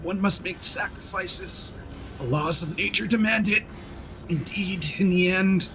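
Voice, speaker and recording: A man's voice: sits high, a bit bright, pitched above the performer's own voice, poor audio. A man voicing a bitter, self-righteous dying coward as he reads a letter from a video game in a theatrical, indignant manner. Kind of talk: dramatic reading of game text.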